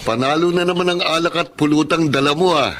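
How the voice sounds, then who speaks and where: Actor as an easygoing drinking buddy, noisy background. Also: male voice, fairly high pitch, brisk, a bit bright, natural voice. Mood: chummy. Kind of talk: Filipino radio drama acting.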